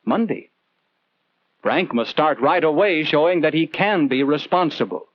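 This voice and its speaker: Man, 1940s instructional film narration, earnest conversational storyteller, fairly high-pitched, dry, lo-fi vintage film sound. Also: expressive, measured pace, no room sound. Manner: earnest, conversational, rhetorical questions to the viewer.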